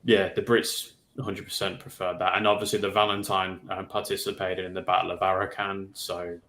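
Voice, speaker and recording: A man's voice: sits low, level tone, a little fast, a bit bright. A man on a livestream panel giving his opinions in a casual hobby discussion, with tentative asides.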